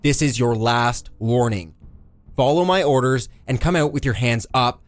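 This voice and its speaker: A man's voice: medium pitch, moderate pace, no echo, natural voice. A man voicing a rigid police constable in an audiobook, barking commands in a stiff, mechanical manner. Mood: stern warning. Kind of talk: dramatised audiobook character.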